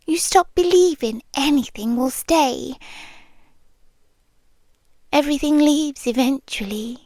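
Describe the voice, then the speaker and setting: A woman with a British accent voicing an ancient, lonely monster girl who is tenderly obsessed, in breathy, wistful, hushed ASMR roleplay. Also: sits high, no room sound. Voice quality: breathy, often dropping into whisper. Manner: hushed, deliberate, wistful storytelling with pauses. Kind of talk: slow intimate ASMR roleplay. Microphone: close, dry microphone.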